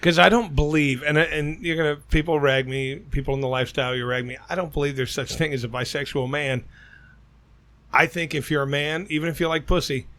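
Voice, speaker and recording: A man co-hosting a crude comedy podcast, telling stories loudly and bluntly with a Cincinnati, Midwest accent. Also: a little high, fairly even intonation, a little fast. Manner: loud, storytelling, blunt opinions. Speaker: podcast co-host. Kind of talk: casual crude podcast banter.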